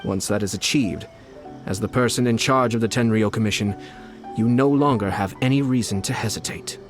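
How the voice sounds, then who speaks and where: An actor playing a composed, scheming young noble strategist in a game, speaking in a measured, persuasive way. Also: male voice, fairly low pitch, over music, lightly acted. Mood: calm scheming. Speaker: game character. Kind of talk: game voice acting.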